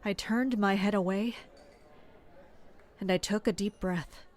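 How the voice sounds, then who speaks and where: A woman playing a guarded, watchful palace servant who narrates a fantasy audio drama in a measured, serious way. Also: mid-range voice, fairly even intonation, darkish tone, natural voice. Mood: anxious. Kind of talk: scripted first-person audio drama narration.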